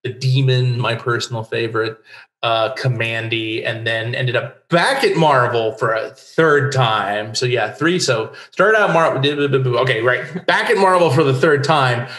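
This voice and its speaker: Middle-aged American male interviewee, informative and discursive, mid-range pitch, roomy home recording. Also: normal speed, fairly bright tone. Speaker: interview guest, playwright. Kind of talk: casual explanatory interview talk. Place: home room lined with bookshelves. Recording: roomy home video call.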